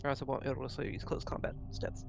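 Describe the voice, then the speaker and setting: A man's voice: mid-range voice, completely flat delivery, quick pace, creaky. A man playing a tabletop game, casually helping the other players with dice and rules.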